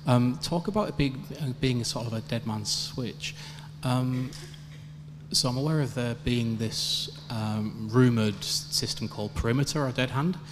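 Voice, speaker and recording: A man's voice: medium pitch, moderate pace. Mumbly man asking from a reverberant hall floor. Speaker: audience member asking a question. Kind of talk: informal, halting question from the floor. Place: large venue, audience floor. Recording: echoey hall, clear noise and hum.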